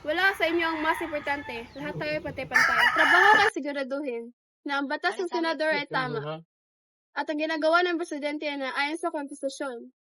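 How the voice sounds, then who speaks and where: Woman acting a high-voiced, stern Filipino judge. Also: a little fast, very noisy, natural voice. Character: stern, even-handed judge embodying the courts. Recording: loud music over the voice.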